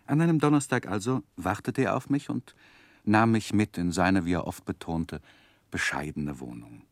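A reflective narrator looking back on boyhood in a 1960s German radio drama. The voice is low and very lively in melody, telling the story in measured literary phrases. The sound is close and dry. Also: male voice, no echo. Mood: reflective, expressive.

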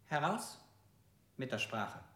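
A man's voice: medium pitch, very animated, takes it slowly, sharp tone, echoey room, full character voice. An actor playing a brusque male interrogator who fires curt demands.

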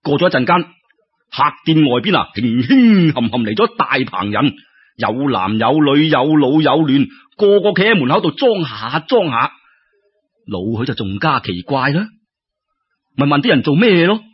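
A man's voice: on the high side, sing-song, moderate pace, husky. A man with a Cantonese accent narrating a folk tale in colloquial oral storytelling style. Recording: dull lo-fi radio-style recording.

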